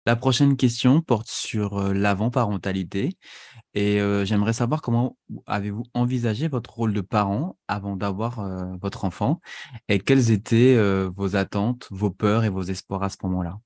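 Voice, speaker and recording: A man co-hosting a podcast, asking prepared questions with measured, slightly hesitant delivery. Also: fairly low pitch, darkish tone. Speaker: podcast co-host.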